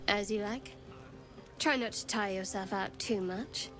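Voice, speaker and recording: A woman's voice: medium pitch, a little slow, a bit bright, soft background music, close to an everyday voice, low-quality recording. A woman playing a guarded, dryly wry young priestess in a video game, with a cool, understated manner. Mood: wry, reflective. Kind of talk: video game character acting.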